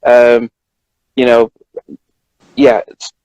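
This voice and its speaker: A man's voice: mid-range voice, level tone, extremely slow, a little mellow, clean tone, no echo, dull audio. A man with a British accent co-hosting a casual chat, informal and discursive with hesitant repetitions. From Britain. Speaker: co-host, journalist.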